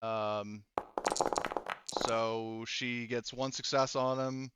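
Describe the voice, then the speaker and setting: A man's voice: mid-range voice, flat delivery, extremely slow, fairly bright tone, smooth, no echo. Man, game master, clean resonant voice, thinking through dice rolls aloud, dry close sound, a little dull. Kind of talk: running a tabletop roleplaying game.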